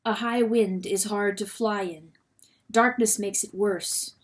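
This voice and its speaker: A woman reader gives a dramatic storybook narration at a medium pitch. Her delivery is expressive and suspenseful. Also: slow. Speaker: audiobook reader.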